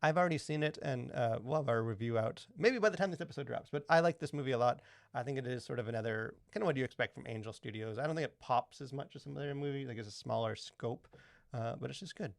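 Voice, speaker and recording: Casual male podcaster, rapid and rambling, close dry sound. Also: mid-range voice, creaky, no echo. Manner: rambling, halting, filler-heavy explanations. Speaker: podcast co-host.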